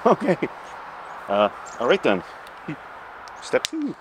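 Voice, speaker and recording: A man's voice: a little high, wildly swooping pitch, slow pace. A man in his forties vlogging casually outdoors in a damp forest, chatty and self-deprecating as he thinks aloud. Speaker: camping vlogger. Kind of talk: casual outdoor vlog narration. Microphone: camera mic, handheld close and filmed from a distance. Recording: outdoor recording with clear background noise.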